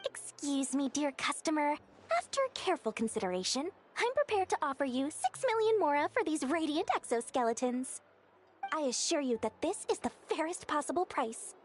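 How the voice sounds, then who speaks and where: A woman voicing a greedy, flattering young female merchant in an anime-style video game, salesy and theatrically courteous. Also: high-pitched, normal speed, a little hiss or hum. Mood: eager, ingratiating.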